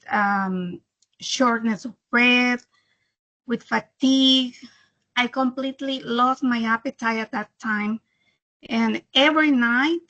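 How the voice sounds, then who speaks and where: A woman from El Salvador speaks English with a Salvadoran Spanish accent, picking her words slowly and with care as she shares her own experience. Her voice sits a little high. The sound comes through a dull, muffled video-call microphone. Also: a bit bright. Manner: slow, careful, slightly halting second-language English. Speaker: webinar speaker. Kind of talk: personal testimony presentation. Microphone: computer microphone on video call.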